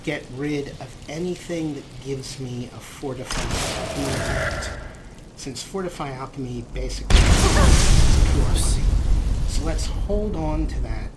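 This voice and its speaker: A man's voice: medium pitch, unhurried. Man doing casual gameplay commentary, noisy background. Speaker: let's play host. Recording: noisy, game sound behind voice. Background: video game ambience.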